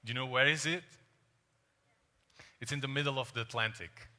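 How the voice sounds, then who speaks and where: Young guy giving a keynote, super bright tense voice, big hall echo. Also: mid-range voice, brisk. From Portugal (Azores). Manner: animated, storytelling, asks the crowd questions. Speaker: keynote speaker. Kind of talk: energetic conference talk. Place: large dark stage. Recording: hall reverb on stage.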